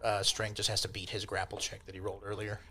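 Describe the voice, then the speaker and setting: A man's voice: lowish voice, flat delivery, fast talker, sharp tone, a little hiss or hum. A man acting as game master, casually improvising narration and explaining rulings in an easygoing, conversational way. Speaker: game master of a tabletop role-playing podcast.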